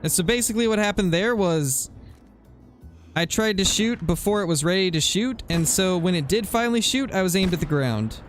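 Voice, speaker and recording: A man's voice: sits high, lively intonation, moderate pace, soft background music. Laid-back rambling young streamer guy. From US West Coast. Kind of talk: casual gameplay commentary, chatting with viewers.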